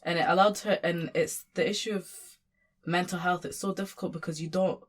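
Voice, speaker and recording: A woman's voice: on the low side, steady tone, brisk. A young woman from Sudan, a guest and community worker, talking in a casual conversation with many fillers and restarts.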